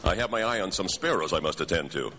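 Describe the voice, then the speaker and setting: An actor playing a calm, authoritative head angel in a radio drama, measured and kindly. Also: male voice, sits low. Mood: benevolent authority. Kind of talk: live radio drama acting.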